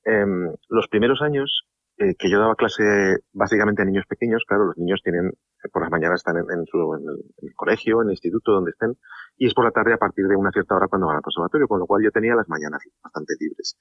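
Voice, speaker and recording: A middle-aged Spanish man with a very low voice rattles off his answers, backtracking over his own words. The sound is dry and a little dull, like a studio radio line. He chats with easy, unhurried warmth. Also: no room sound. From Spain. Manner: talkative, warm, many restarts and laughs. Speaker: harpsichordist and translator, guest. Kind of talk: relaxed radio interview.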